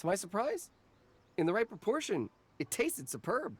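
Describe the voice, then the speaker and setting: A flustered young snack vendor. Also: male voice, high voice, huge pitch swings, moderate pace, breathy edge, heavily acted. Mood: explaining. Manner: flustered, stammering, apologetic. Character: nervous young male snack vendor, eager. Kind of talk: game cutscene voice acting.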